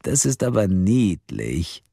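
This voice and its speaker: A man voicing a cold, mocking anonymous male caller who talks slowly and with scorn. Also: sits low, sing-song, vocal fry, breathy edge, no room sound, studio quality, heavily acted. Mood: scornful. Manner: slow, sneering, drawn-out delivery.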